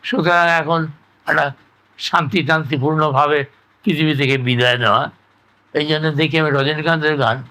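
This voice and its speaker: An elderly man reminisces in casual conversation, reflective and halting, often repeating himself. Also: on the high side, steady tone, bright, forceful tone. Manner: halting, reflective, trailing into repetitions. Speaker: interview guest, retired engineer recalling life.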